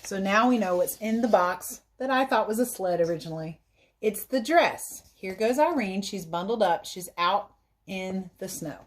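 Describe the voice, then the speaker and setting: A woman's voice: mid-range voice, animated, normal speed. Woman reading a picture book to children. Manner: instructive, simply explains things to young listeners. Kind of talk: read-aloud storytelling with explanatory asides for children.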